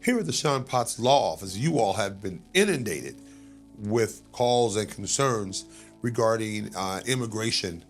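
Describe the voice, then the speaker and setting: A male interviewer with a low voice asks plain, conversational questions and keeps the guest going with quick murmurs of agreement. The talk is set in an office, with soft music running faintly in the background. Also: expressive. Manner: conversational, prompting with short questions and backchannels. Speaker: news interviewer. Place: law office.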